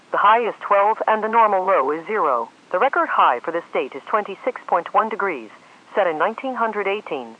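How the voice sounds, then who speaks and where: A woman's synthetic voice reads scripted forecasts and climate summaries as an automated weather announcer, in a formal style. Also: medium pitch. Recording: dull lo-fi weather radio audio.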